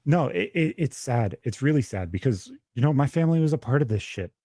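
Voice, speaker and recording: A man's voice: mid-range voice, animated, fairly quick, warm tone, no echo, clean studio sound. A young man co-hosting a podcast over a remote call, talking bluntly and swearing a lot. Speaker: podcast co-host. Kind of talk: casual political chat over a call. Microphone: remote call with dropouts.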